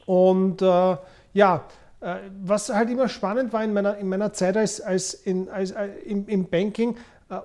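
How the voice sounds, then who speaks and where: A man's voice: high voice, darkish tone. Podcast host, male, forties, Viennese accent; unscripted, explanatory delivery with repeated words for emphasis. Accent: Viennese Austrian. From Vienna, Austria. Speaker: podcast host, former investment banker. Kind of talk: unscripted podcast talk about money.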